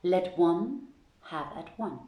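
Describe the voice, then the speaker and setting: A woman reads as a young storybook princess, bold and commanding. The voice is low and unhurried, with formal, archaic phrasing that gives orders plainly, heard in an echoey room. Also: lively intonation, mellow. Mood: firm.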